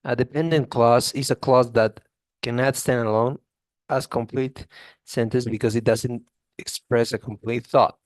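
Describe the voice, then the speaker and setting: A man's voice: mid-range voice, steady tone, unhurried, no room sound. A male English teacher giving a classroom grammar lesson, often checking in with "okay?" and restarting phrases.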